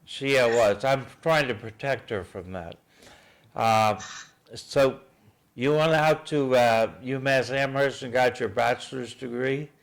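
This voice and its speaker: Male host, casual chat, halting delivery with fillers and dry humor, mid-pitched. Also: vocal fry. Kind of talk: casual radio-style interview.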